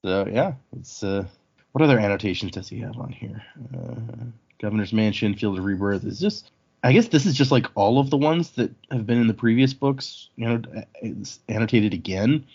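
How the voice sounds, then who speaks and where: A man with a fairly low voice talks informally as a show host discussing a book. He is chatty and tends to digress. The audio is slightly dull and lo-fi. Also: animated, moderate pace, gravelly, darkish tone, slightly rough. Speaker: podcast host.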